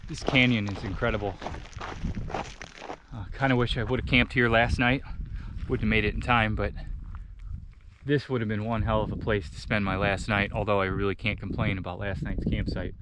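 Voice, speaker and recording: A man in his forties, a thru-hiker, musing reflectively into a handheld camera while walking in a desert canyon, pausing mid-sentence. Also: fairly low pitch, fairly even intonation, noisy background. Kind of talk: reflective trail vlog. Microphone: action camera held at arm's length.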